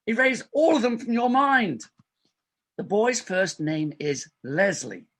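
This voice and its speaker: A bright, forceful and high voice from a male actor for a desperate farce doctor. He is raspy and insistent, forever cajoling his colleague. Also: expressive, takes it slowly, heavily acted. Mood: coaxing. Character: desperate, fast-talking married doctor wheedling a colleague. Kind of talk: stage farce readers theater acting.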